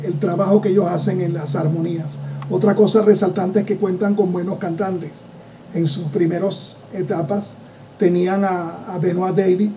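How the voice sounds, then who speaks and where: A man's voice: sits high, warm tone, distant mic, highs cut off, a little hiss or hum. A man co-hosting a progressive rock music show, chatting casually and enthusiastically about bands, with frequent fillers. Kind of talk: casual radio-style music chat.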